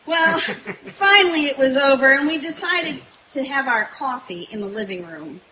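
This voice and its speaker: A woman's voice: sits high, lively intonation, moderate pace, bright, forceful tone, slight background noise. A woman playing a flustered, long-suffering young secretary in an old-time radio comedy, performed live outdoors. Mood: wry. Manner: flustered, hesitant, exclaiming. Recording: echoey live outdoor stage sound.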